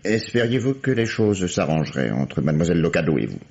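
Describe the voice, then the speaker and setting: An actor playing a weary, wry police commissioner in a radio mystery, questioning tersely and often repeating himself. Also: male voice, low voice, very animated, fairly quick, creaky, darkish tone, full character voice. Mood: businesslike, wry. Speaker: actor in a radio crime drama. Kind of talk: French radio mystery acting. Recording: muffled, noisy old radio recording.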